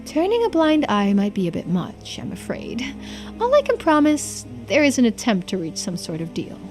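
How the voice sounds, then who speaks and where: A woman voicing a calm, polite but firm official in a video game, speaking in a measured, formal way. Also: fairly high pitch, soft background music.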